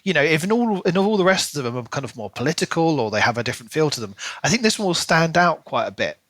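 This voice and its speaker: A man's voice: fairly high pitch, big pitch swings, fast, fairly bright tone, dry recording. A man co-hosting a book podcast, recapping and discussing a novel. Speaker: podcast co-host. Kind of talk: book discussion podcast, reading a plot recap then casual chat.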